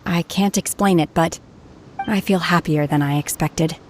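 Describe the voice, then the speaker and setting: A cool, withdrawn young woman exorcist voiced by a woman talks quietly and without fuss, with a quiet menace. Her voice sits fairly low for a woman. Game music plays softly behind. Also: moderate pace, close to an everyday voice. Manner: quiet, flat, detached. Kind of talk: English game voice acting.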